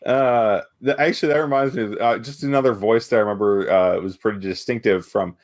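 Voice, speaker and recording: A man's voice: lowish voice, steady tone, fairly quick. A man co-hosting a podcast, talking casually and thoughtfully as he works through examples. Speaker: podcast co-host.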